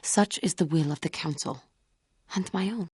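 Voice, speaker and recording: A woman playing an earnest, wounded alien warrior in a video game, speaking formally and gravely in measured phrases. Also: fairly low pitch, darkish tone, no echo, clean studio sound. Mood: solemn, resolute. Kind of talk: video game character dialogue.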